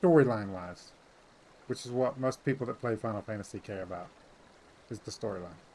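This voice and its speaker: A man's voice: lowish voice, brisk, warm tone, slight background noise, rough recording. A man in casual, colloquial gaming talk, making his points insistently with some mild swearing. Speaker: gaming channel host. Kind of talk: casual gameplay chat about story.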